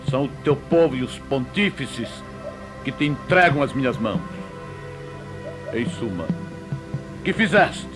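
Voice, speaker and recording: An actor playing an imperious, impatient Roman governor in a biblical audio drama, with theatrical, declamatory delivery. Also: male voice, fairly high pitch. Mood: probing.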